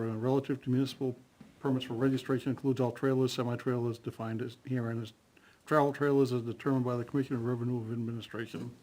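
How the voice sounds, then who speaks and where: A man's voice: mid-range voice, dark tone. A man on a town planning board, reading legal definitions aloud and discussing them at a public meeting.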